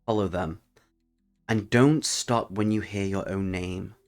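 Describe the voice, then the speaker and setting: A man voicing an old woman who leads a forest guild in a dark fantasy story, dry, terse and unimpressed. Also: deep voice, steady tone, takes it slowly, faint music behind. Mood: ominous.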